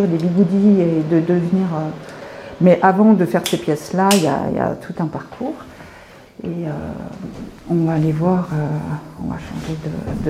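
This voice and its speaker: A woman in her fifties from France, an artist telling her story in an informal interview in a hesitant, halting, reflective way. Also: on the low side, warm tone, slight background noise. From France, Paris suburbs. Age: 50s. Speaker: visual artist being interviewed. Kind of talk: informal guided-tour interview.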